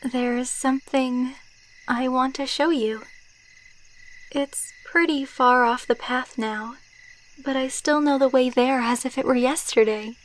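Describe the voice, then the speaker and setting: A woman playing a tender, wistful immortal vampire girlfriend in an ASMR roleplay, speaking softly, intimately and sentimentally. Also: high-pitched, steady tone, rough recording. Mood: calm, reflective. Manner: soft, intimate, unhurried, sentimental.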